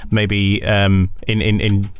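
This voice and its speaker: A man hosting a conversational radio interview, haltingly repeating words as he goes. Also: on the low side, flat delivery, clear, resonant tone, no echo.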